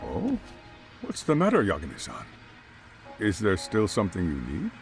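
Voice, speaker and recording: A man's voice: lowish voice, wildly swooping pitch, normal speed, over music. An actor playing a wary, proper chairman in an English game dub, hesitant, cautious and defensive. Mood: puzzled. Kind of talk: dramatic game cutscene dialogue.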